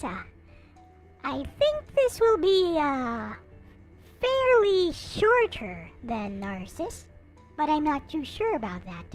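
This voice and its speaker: A woman hosting a live stream in casual chat, rambling along with frequent hesitant pauses. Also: high-pitched.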